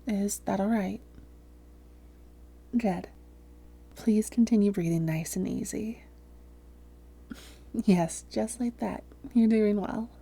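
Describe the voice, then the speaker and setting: A woman playing a calm female vampire therapist in a roleplay audio, speaking softly, gently and in a measured, reassuring way. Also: mid-range voice, heavily acted. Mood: soothing, hypnotic. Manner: measured, gentle, reassuring, breathy hushed phrases. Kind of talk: soft-spoken roleplay audio, therapist addressing listener.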